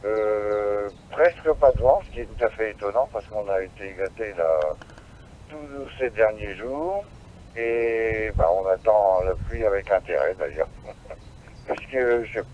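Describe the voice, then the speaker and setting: Man, French accent, slow delivery, lowish pitch, two-way radio chat, muffled sound. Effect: received over two-way radio. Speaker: amateur radio operator. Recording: dull radio link, faint noise.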